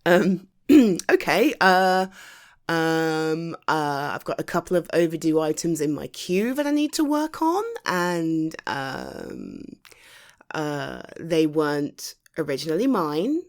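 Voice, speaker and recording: A woman's voice: low voice, very animated, slow, full character voice. A woman with a British accent playing a caring, tired girlfriend home from the office, talking in a soothing, chatty, hesitant way in an intimate ASMR roleplay. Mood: weary, resigned.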